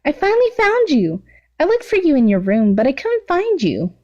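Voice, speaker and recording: A woman voicing a giggly, clingy, bloodthirsty teenage villain girl in an excitable, gushing way for an anime fan story. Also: high voice, moderate pace, dull audio, voice pushed up. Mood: gleeful.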